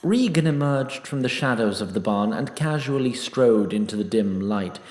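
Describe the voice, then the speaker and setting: A man's voice: mid-range voice, lively intonation, moderate pace, darkish tone. A man narrating a scripted fantasy story in a formal, wry manner. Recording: roomy echo.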